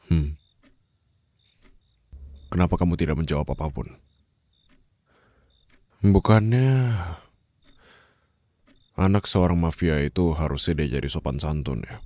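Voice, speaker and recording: A man playing a menacing, condescending mafia boss in an ASMR roleplay, with a gravelly, breathy voice that often drops toward a whisper. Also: a bass voice, mellow, no echo, full character voice. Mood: cold, stern. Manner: slow, taunting, controlled threats. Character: menacing, condescending young male mafia boss holding a captive.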